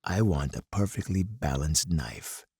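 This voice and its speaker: Deep, gravelly, stern military colonel. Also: male voice, lively intonation, darkish tone, no echo, clean studio sound, full character voice. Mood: intense resolve. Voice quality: gravelly, husky. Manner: stern, deliberate, oratorical. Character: hard, commanding older male military colonel, menacing strategist. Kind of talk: dramatic scripted game character monologue.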